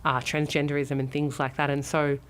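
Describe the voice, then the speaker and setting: A woman from Australia with an Australian accent, a radio studio guest who answers briskly with dry humor. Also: sits low, little pitch movement, smooth. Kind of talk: radio interview.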